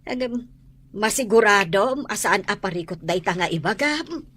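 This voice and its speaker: A woman acting a caring mother who gently questions her grown son in a radio drama. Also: mid-range voice, expressive, moderate pace, breathy edge. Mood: concerned.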